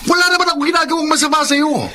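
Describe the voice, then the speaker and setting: A man's voice: very high voice, fairly even intonation, fast, background noise. A man with a Filipino accent acting in a radio drama as an argumentative fishing villager with an urgent manner. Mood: defensive.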